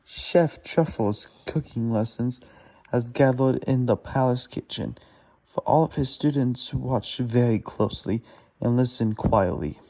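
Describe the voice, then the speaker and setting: Slow, mellow-voiced man reading haltingly. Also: mid-range voice, poor audio. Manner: stumbling, laboured reading with self-corrections. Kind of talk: halting read-aloud of a children's storybook.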